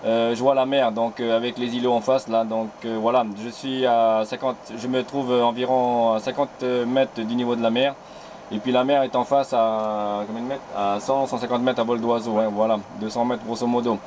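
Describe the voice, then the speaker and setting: A man from New Caledonia answering on a radio link as operator, unhurried and relaxed, dotting his talk with fillers. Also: mid-range voice, a little hiss or hum. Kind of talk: CB radio contact.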